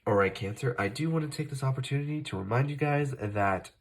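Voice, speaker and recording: A man's voice: mid-range voice, quick pace. A man hosting a tarot reading, speaking casually while fighting off a cold, often correcting himself and using fillers. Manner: conversational, self-correcting, many fillers. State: fighting off a cold, occasional cough. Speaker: tarot reader, channel host.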